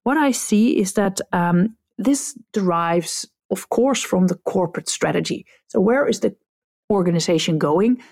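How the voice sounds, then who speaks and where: A woman's voice: medium pitch, lively intonation, unhurried, creaky, a little mellow. A woman with a Dutch accent, whose first language is Dutch, hosting a solo podcast and giving direct, blunt advice to leaders. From Netherlands. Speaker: podcast host, customer experience coach.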